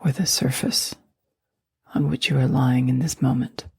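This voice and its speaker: A woman guiding a sleep meditation, speaking slowly and softly, often in a near whisper. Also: extremely low pitch, fairly even intonation, creaky, husky, no echo, studio quality. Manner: slow, soft and soothing, often whispered. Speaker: meditation guide.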